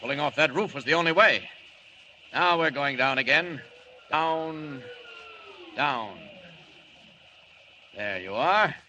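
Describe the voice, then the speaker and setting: A man's voice: a little high, expressive, slow, long pauses, sharp tone, highs cut off, heavily acted. An actor playing a commanding, reassuring male superhero rescuer in 1940s radio style. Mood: calm, reassuring. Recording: vintage 1940s radio, faint noise.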